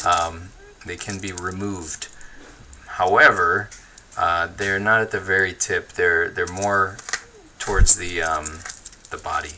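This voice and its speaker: Easygoing bright-voiced male livestream host. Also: low-pitched, fairly even intonation, takes it slowly, a little hiss or hum. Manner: easygoing, chatty, laughs often, thinks aloud with fillers. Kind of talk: casual livestream chat reading viewer comments.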